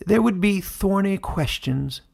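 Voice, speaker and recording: A man's voice: high-pitched, lively intonation, a little slow, gravelly, pitched above the performer's own voice, full character voice. A man voicing a cruel, mocking woman ruler in a fantasy audiobook, haughty and theatrical in delivery. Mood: cold threat. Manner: scornful, sneering, theatrical. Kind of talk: dramatic fantasy audiobook character reading.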